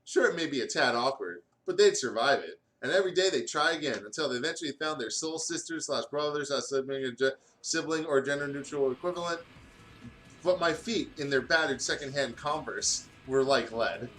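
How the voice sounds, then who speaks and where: A man's voice: mid-range voice, a little fast, fairly bright tone, slight background noise, low-quality recording. A man reading a story aloud in a jokey, casual way, as a host who chats informally as he goes. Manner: informal, sweary, breaks off to riff. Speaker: gaming channel host reading fan fiction aloud. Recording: music playing behind the voice. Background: music.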